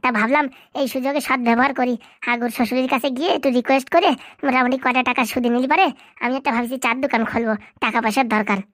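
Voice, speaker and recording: Sly village sweet-talker for comedy cartoon, female performer: chatty, high voice, rattling pace. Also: very fast, no echo, studio quality. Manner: chatty, flattering, insistent. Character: sly flattering villager pleading for a loan. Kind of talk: amateur comedy cartoon dialogue.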